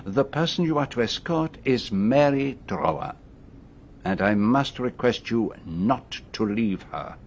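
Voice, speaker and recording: A confident, formal Belgian detective. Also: male voice, mid-range voice, animated, lo-fi sound, a little hiss or hum. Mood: brisk, authoritative. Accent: French-speaking Belgian. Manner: deliberate, formal, theatrical. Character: confident middle-aged Belgian male detective, courteous and commanding. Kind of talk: radio drama acting.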